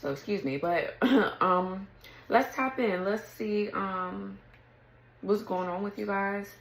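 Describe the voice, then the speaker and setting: A woman with a raspy morning voice, giving a casual tarot reading to camera, chatty and often clearing her throat. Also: mid-range voice, slow, echoey room. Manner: chatty, clears throat often, reads card texts aloud. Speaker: tarot reader.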